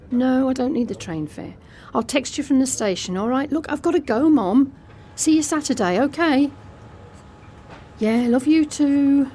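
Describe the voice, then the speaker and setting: Jaded snobby british girl in her own head, audio drama, soft mellow voice, dry muttered asides, bit of noise behind. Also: high voice. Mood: strained, brisk. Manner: confessional, sardonic, muttering asides to herself. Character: jaded, snobbish, secretive young woman confiding her private thoughts. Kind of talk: intimate audio drama monologue.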